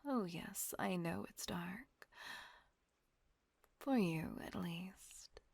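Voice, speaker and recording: A woman voicing a gentle, protective female vampire caretaker in a whispered audio roleplay, soothing and coaxing. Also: on the low side, very slow, no echo, exaggerated voice. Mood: tender whisper. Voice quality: soft breathy whisper.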